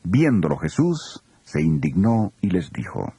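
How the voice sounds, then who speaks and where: A man with a gravelly voice narrating scripture in a grave, storytelling delivery. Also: low voice, very animated, unhurried, warm tone.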